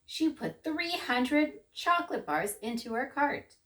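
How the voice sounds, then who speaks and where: A woman's voice: sits high, sing-song, unhurried, pushed and bright. A woman narrating a children's story expressively, with rhythmic, dramatic emphasis.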